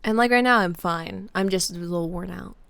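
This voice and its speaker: A woman's voice: lowish voice, a little fast, creaky, a bit bright. A young woman streamer chatting casually with viewers, rambling with lots of fillers and restarts. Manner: chats with viewers by name. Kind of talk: casual live-stream chat.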